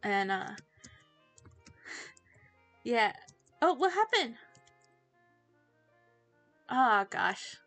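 A woman's voice: on the high side, very animated, a bit bright, faint music behind. A teenage girl chatting casually to viewers while playing a game, giggly and easily distracted. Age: teens. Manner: chatty, giggly, self-distracted, quick to laugh. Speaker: streamer. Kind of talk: casual livestream chat while gaming.

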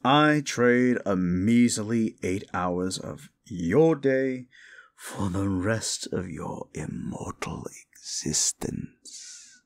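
A man's voice: on the low side, vocal fry, heavily acted. A man voicing a condescending, seductive demon deal-maker in an audio roleplay, speaking in a theatrical, mocking drawl. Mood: grudging, amused. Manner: slow, condescending, theatrical drawl with amused chuckles. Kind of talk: scripted audio roleplay addressed to the listener.

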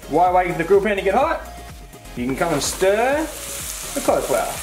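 A man's voice: high voice, sing-song, a little fast, a little mellow. Playful man giving cooking steps. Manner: playful, jokey banter while cooking. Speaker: cooking show co-host. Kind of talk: casual recipe instructions. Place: home kitchen. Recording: echoey kitchen with background music.

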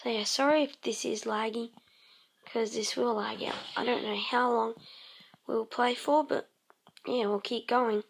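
A woman casually narrating her game session, croaky and clearing her throat, rambling with many pauses and humming to herself. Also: fairly high pitch, steady tone, slight background noise. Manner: slow, rambling, many ums, hums tunes while playing. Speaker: let's play host. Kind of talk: casual gameplay commentary.

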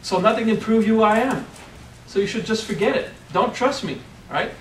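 A man's voice: high voice, normal speed, slight background noise. A middle-aged man with a French accent, a spiritual teacher giving a playful workshop talk and often asking "okay?". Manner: playful, keeps checking in with "okay?". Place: hotel meeting room. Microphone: far camera. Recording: echoey room from far off.

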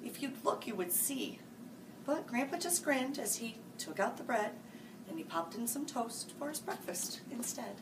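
A woman in her forties reading a picture book aloud to children in a sing-song, animated way, jumping to loud, high character lines. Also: moderate pace. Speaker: school staff reading buddy. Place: small room, seated before a green-screen farm backdrop. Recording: distant mic with faint hiss.

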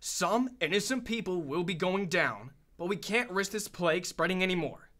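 Bright, blustering military commander played by a man. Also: sits high, animated, normal speed. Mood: confident. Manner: emphatic, assured. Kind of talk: radio drama acting.

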